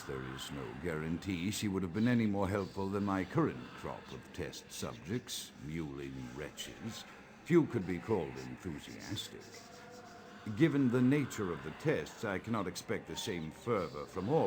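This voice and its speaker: An actor playing a pompous, morbid old vampire psychiatrist in a video game, with grandiloquent, brooding and precise diction. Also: male voice, sits low. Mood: bitter regret. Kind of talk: theatrical monologue, video game voice acting.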